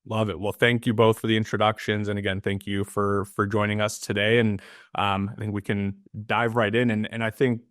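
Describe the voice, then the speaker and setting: Low, flat-voiced man hosting a webinar. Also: fairly quick, no echo, studio quality. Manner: earnest, filler-heavy with restarts. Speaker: webinar host. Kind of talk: moderating a panel webinar.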